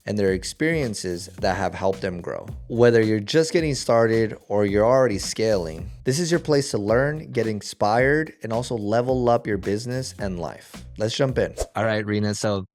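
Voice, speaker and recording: A man's voice: lowish voice, moderate pace, few pauses, darkish tone, soft background music. A man hosting a podcast interview, speaking in an emphatic, advising way. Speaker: podcast host.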